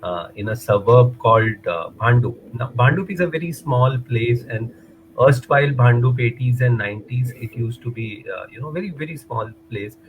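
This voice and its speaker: A man's voice: medium pitch, moderate pace, muffled recording, a little hiss or hum. A man in his forties from Mumbai with an Indian English accent, chatting as an interview guest over a video call, hesitant and full of fillers, slipping into Hindi. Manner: hesitant, many fillers, rambling. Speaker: guest, runner and motivator. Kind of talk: casual interview chat, mixing in Hindi.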